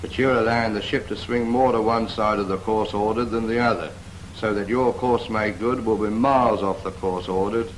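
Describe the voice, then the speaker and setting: Low-voiced British navy instructor played by an actor. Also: male voice, fairly even intonation, normal speed, lightly acted. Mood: instructive. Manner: instructive, firm, prompting with questions. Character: patient, brisk Royal Navy instructor teaching a novice helmsman. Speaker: naval steering instructor. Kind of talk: scripted 1940s training film dialogue. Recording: noisy 1940s film soundtrack.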